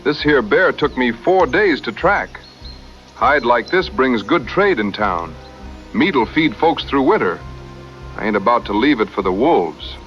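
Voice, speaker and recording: An actor playing a confident, plainspoken American frontier hunter with laconic, folksy dry humor in a western audio drama. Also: male voice, medium pitch, big pitch swings, measured pace, lo-fi sound, soft background music. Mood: steady, resolute.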